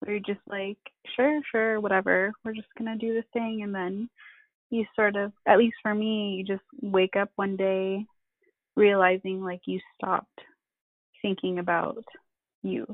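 A middle-aged woman from the Philippines, a family therapist, speaking candidly and reflectively with halting pauses and self-deprecating laughs. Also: medium pitch, level tone, dry recording. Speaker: podcast guest, family therapist. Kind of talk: candid personal conversation. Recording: tinny phone-like line.

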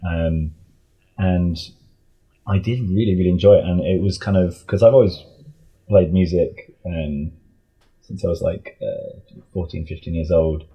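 A man's voice: deep voice, steady tone, a little slow, long pauses, slightly rough, muffled recording. A man talking casually as an interview guest, a composer speaking about his creative work.

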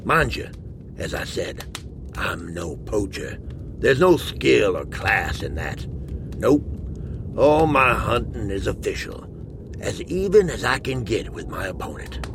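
An actor playing a worldly, obsessive big-game hunter in a western audio drama, telling his tale in a slow, brooding, hushed manner with a gravelly voice. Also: lowish voice, very animated, background noise, exaggerated voice. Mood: proud, intense. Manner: slow, brooding storyteller with hushed, breathy emphasis.

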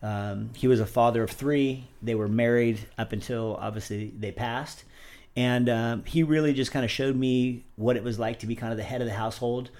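A man's voice: medium pitch, fairly even intonation, normal speed, a little mellow. A man, a gym owner chatting casually as a podcast guest, easygoing and full of uh's. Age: 40s. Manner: easygoing, many fillers and uh's. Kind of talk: casual interview chat.

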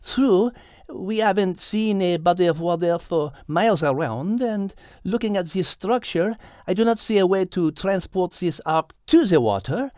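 A woman voicing a thoughtful, logical female cat with a French accent, speaking in a measured, precise way in an audiobook. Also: lowish voice, expressive, warm tone, dry recording, clean studio sound. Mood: analytical. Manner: measured, precise, reasoning aloud. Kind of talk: audiobook character acting.

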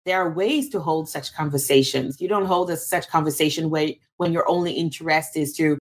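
A woman's voice: sits low, brisk. A woman from Cameroon, a guest in an interview, answering thoughtfully with frequent restarts and fillers.

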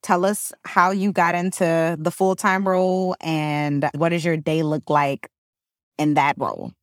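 Host: woman with a low-pitched voice, encouraging interviewer, dry close recording. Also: unhurried, no room sound. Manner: encouraging, prompting with short affirmations. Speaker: podcast host. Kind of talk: interview questions.

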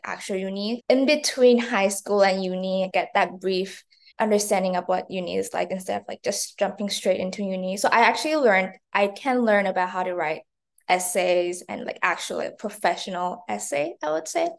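Female, early 20s, pronounced vocal fry, chatty student interviewee with informal delivery. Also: mid-range voice, moderate pace. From Taiwan and China. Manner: chatty, frequent "like". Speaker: interview guest, marketing intern and university student. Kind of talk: casual interview answers.

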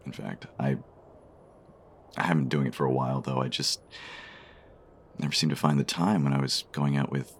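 An actor playing a shy, smitten diner customer in a romance audio drama, speaking in a hesitant, bashful way. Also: male voice, a bass voice, fairly even intonation, normal speed, pauses often, husky, full character voice. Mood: wistful.

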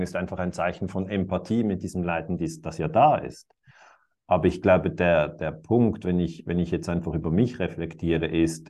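Husky low-voiced man in his fifties. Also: warm tone. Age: 50s. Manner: musing, thinks aloud, chuckles now and then. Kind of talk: reflective conversation.

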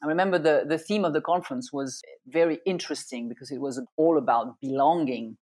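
A woman's voice: low voice, fairly quick, darkish tone. A woman hosting an interview, asking long, winding questions in a conversational way.

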